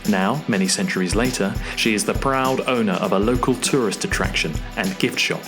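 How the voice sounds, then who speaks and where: A man's voice: lowish voice, brisk, music in the background. A man reading a scripted character introduction as a player in a roleplaying show.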